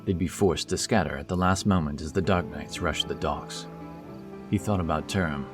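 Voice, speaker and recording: A man's voice: deep voice, normal speed. A man narrating a scripted fantasy audio story. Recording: music under the voice. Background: background music.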